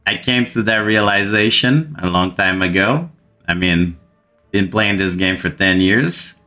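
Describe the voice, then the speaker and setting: Slow-talking Brazilian man with bright voice. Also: on the low side, faint music behind. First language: Portuguese. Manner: exasperated, repeats words for emphasis. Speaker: gaming channel host. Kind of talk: frustrated gaming rant to camera.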